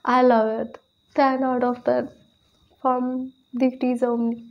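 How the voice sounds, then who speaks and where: Higher-pitched, slow-paced young woman vlogger. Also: level tone, muffled recording. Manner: gushing, hesitant, repeats words for emphasis. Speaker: reaction video host. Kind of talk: casual, excited reaction chat to camera. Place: small plain room indoors.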